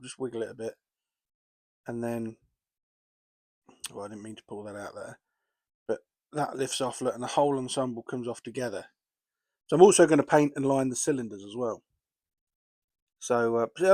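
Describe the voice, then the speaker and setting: A hobbyist with a voice still a little rough from a recent cold talks plainly through each step of his work. His pitch sits in an ordinary middle range, and the sound is close and dry with almost no room around it. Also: a little mellow, dry recording. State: recovering from a cold. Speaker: model railway hobbyist. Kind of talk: casual workbench narration.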